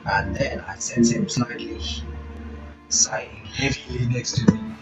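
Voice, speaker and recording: A man reading a visual novel aloud, with halting reading broken up by quick reactions of his own. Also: medium pitch, fairly even intonation, far from the mic, rough recording. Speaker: reaction channel host. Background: game music playing.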